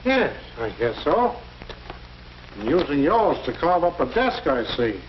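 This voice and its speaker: An actor playing a grumbling, sardonic older school janitor in a 1940s educational film. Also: male voice, a little high, very animated, a little slow. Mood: grumbling, scornful. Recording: muffled, noisy old 1940s film soundtrack.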